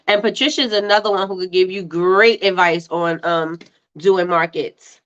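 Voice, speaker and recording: A woman's voice: on the low side, fairly even intonation, moderate pace, a bit bright. A woman with a stuffy, congested voice hosting a casual livestream, chatting with viewers, laughing often and repeating herself. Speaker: livestream host. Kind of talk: casual live-stream chat reading viewer comments. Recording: slightly dull lo-fi livestream audio.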